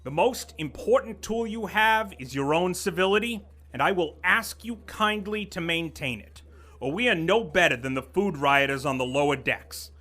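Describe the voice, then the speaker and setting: A man's voice: sits high, expressive, normal speed, sharp tone, full character voice. An actor playing a pompous, condescending office vice president who lectures his staff. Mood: lecturing. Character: pompous middle-aged male corporate boss, menacing and sly. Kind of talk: audio drama acting.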